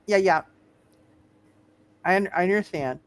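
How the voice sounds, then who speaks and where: A man running a tabletop superhero game as game master, talking casually and haltingly, often repeating words and phrases as he works out the rules. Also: high-pitched, a crowd in the background.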